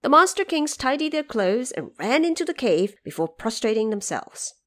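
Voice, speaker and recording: Female narrator, audio drama, scripted storytelling, raised pitch, lively intonation. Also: normal speed.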